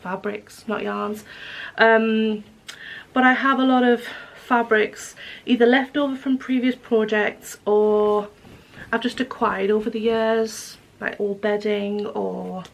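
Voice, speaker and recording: A woman vlogging about knitting and sewing at home, her voice hoarse and croaky from a cold, rambling with lots of ums and self-corrections. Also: fairly high pitch, fairly even intonation, slow. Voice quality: croaky, husky. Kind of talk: casual vlog chat. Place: home living room with wood stove.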